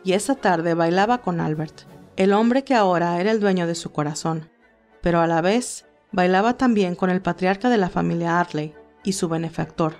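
A woman's voice: fairly low pitch, moderate pace, darkish tone. A woman reading scripted story narration in Spanish, telling a tale. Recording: music behind the voice. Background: classical music.

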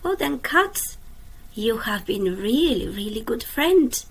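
A woman teacher reading a children's picture book aloud, asking the young listeners questions and dropping to a soft whisper at the ends of lines. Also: on the high side, sing-song, slightly rough. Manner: slow, gentle, drops to a soft whisper at line ends. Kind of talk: children's storytime read-aloud. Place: indoors at a computer.

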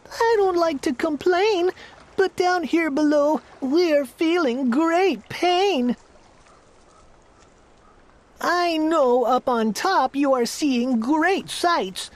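A woman's voice: very high voice, a little mellow, voice pushed up, heavily acted. A woman voicing a meek, polite little male turtle with plaintive complaints, in a rhyming storybook.

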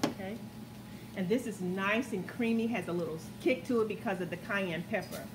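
A woman's voice: mid-range voice, moderate pace. Chatty woman cooking host in roomy kitchen. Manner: chatty, keeps addressing viewers with "guys" and "okay?". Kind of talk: casual cooking demonstration. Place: large home kitchen, indoors. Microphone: camera mic across the kitchen. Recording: roomy, distant, noisy kitchen sound.

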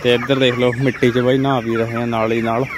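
A man's voice: mid-range voice, level tone, brisk. A young man with a Punjabi accent from India, giving casual, informal vlog commentary to viewers on a phone at a poultry farm. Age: young adult. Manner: informal, addresses viewers as friends. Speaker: farm vlogger. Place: poultry farm sheds and yard. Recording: noisy farm with clucking birds. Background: chickens clucking, birds.

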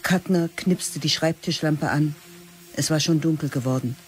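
A male narrator reads literary prose for a radio play. His voice sits a little high and he delivers it in terse, sober phrases. Faint music plays behind him. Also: moderate pace.

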